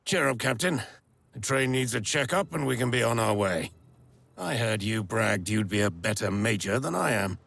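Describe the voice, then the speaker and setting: An actor playing a stern, seasoned army major who narrates, speaking in a measured, formal way. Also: male voice, lowish voice, expressive, vocal fry, a bit bright, heavily acted. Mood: commanding. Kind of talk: scripted game voice-over. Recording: game audio with music behind.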